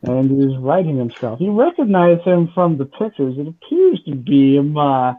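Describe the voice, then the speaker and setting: A man narrating as game master of a tabletop game, hesitant, often pausing with fillers. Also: fairly high pitch, very animated. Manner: hesitant, laughing between lines. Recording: tinny phone-like call audio.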